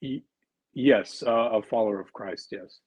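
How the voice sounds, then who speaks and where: A man's voice: fairly low pitch, measured pace, a little mellow, breathy edge, dry recording. A young man from the United States, a debate guest who speaks politely and a bit hesitantly. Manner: polite, hesitant, uses fillers. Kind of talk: debate argument.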